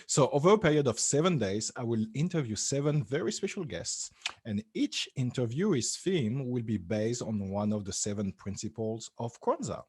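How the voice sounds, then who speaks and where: A man's voice: mid-range voice, animated, darkish tone, breathy edge, no room sound. Upbeat man hosting an interview. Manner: upbeat, sing-song greeting, halting restarts. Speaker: interview host. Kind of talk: online interview.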